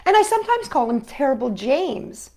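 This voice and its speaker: A woman hosting a children's storytime, reading picture books aloud and singing a call-and-response song with a puppet, in a gentle, sing-song way. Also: sits high, very animated, normal speed.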